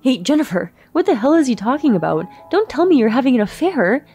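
A jealous husband demands answers in a sharp, pressing voice. The tone stays dark and mellow, with faint music behind. A woman narrator puts him on. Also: sits high, sing-song, brisk, voice pushed up. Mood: angry. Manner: demanding, confrontational. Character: suspicious, angry husband, adult man.

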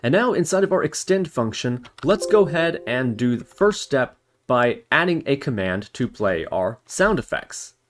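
A man's voice: medium pitch, sing-song, normal speed, hardly any pauses. A man narrating an instructional screencast as the presenter, explaining step by step in a walkthrough manner. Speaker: tutorial presenter.

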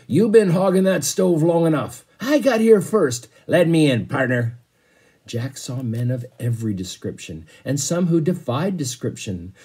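Guy in his 50s reading a kids book out loud, soft mellow tone, bit raspy. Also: fairly low pitch. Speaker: teacher reading to a class. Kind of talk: read-aloud storytelling with casual asides. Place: small bedroom, indoors.

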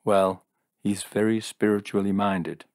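A man voicing a calm, gently reproving young woman with teasing wit in an audiobook, soft-spoken and matter-of-fact. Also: fairly low pitch, unhurried, dry recording, clean studio sound. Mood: gentle, frank.

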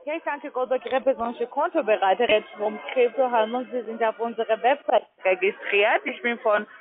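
Insistent high-voiced woman on the phone. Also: normal speed. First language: not German. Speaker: call centre sales agent. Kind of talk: phone sales pitch. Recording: tinny phone line.

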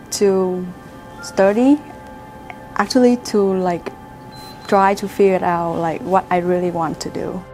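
A woman's voice: medium pitch, mellow. A young woman from Vietnam with a Vietnamese accent, answering interview questions as a student and searching for her words. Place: university lab building, indoors.

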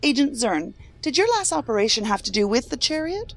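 A woman's voice: on the high side, very animated, fairly quick, over music. A woman playing an inquisitive, outspoken federal agent in a science fiction audio drama, direct and conversational.

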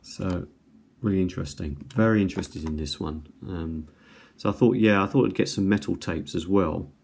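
A man's voice: low-pitched, moderate pace, vocal fry, a little mellow. A man giving casual unboxing commentary as a video host, hesitant and musing as he thinks aloud. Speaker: video host reviewing items. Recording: home recording with faint background noise.

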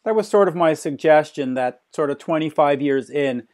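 A man's voice: fairly high pitch, moderate pace, darkish tone. A man interviewing a musician, chatty, leading his questions and repeating words as he talks. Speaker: interviewer, rock journalist. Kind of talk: casual interview.

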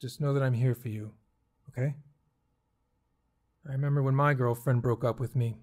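Middle-aged pastor played by a man, medium-pitched. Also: fairly even intonation, normal speed, a little mellow, natural voice. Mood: gentle, consoling. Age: 50s. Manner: reassuring, familiar. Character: friendly, encouraging middle-aged evangelical pastor. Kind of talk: audio drama acting.

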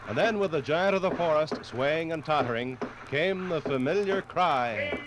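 A man narrating a scripted 1950s radio documentary in a measured, dramatic storytelling manner. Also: a little high, expressive, over loud music, rough recording.

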